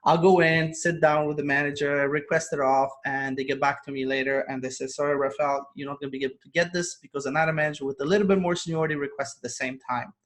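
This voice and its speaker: A man's voice: fairly high pitch, level tone, quick pace, hardly any pauses, a bit bright. A man, a guest telling his story earnestly on a video call and laughing often. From Poland. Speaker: guest, online business coach. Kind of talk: casual interview over video call.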